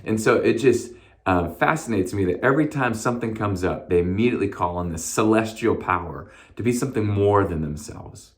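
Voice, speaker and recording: Hoarse low-voiced male pastor. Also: lively intonation, brisk. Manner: casual, conversational, with frequent fillers and restarts. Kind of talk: short devotional message.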